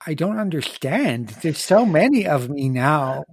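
A game master voicing a remorseful living war-machine creature, a male fugitive with a lateral lisp and a halting, earnest way of talking. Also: medium pitch, very animated, breathy edge, voice pushed up, exaggerated voice. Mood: bewildered.

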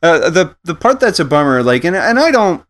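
A man's voice: fairly high pitch, sing-song, fairly quick, fairly bright tone. A man co-hosting a casual podcast chat, who talks in a laid-back, jokey way and halts with many fillers. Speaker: podcast co-host.

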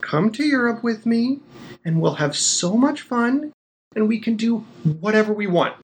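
A man's voice: high-pitched, lively intonation, moderate pace. A man acting in a modern audio drama as an eager, teasing, devoted young man, boyish and coaxing. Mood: coaxing, excited.

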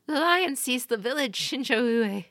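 A woman voicing an exhausted young woman warrior, hoarse and out of breath. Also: high voice, lively intonation, normal speed, a bit bright, no echo, studio quality, voice pushed up, heavily acted. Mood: breathless, distraught.

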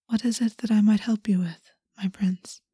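A woman gives voice to a poised, quietly scheming male courtier in a fantasy audiobook, who speaks in a soft, breathy half-whisper. Her tone is warm and low-key, and the room sounds close and dry. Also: steady tone, normal speed, dry recording, natural voice.

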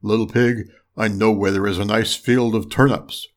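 Storybook big bad wolf, played by a male reader: deep, loud, sly and menacing, coaxing in drawn-out phrases. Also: steady tone, lightly acted. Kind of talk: storybook character voice.

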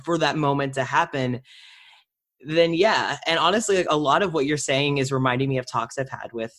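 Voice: medium pitch, normal speed, fairly bright tone. A podcast host and actor of unclear gender talking casually and conversationally while interviewing a friend.

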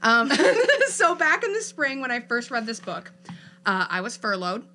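Thoughtful young woman with a bright voice. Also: a little high, big pitch swings, unhurried. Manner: thoughtful, searching, many fillers. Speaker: podcast co-host. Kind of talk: casual reflective chat.

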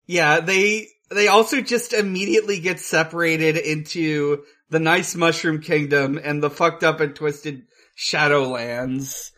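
A man's voice: a little high, fairly even intonation, measured pace, few pauses, sharp tone. A man co-hosting a podcast, talking casually about film in a hesitant way, thinking aloud as he goes. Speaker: podcast co-host.

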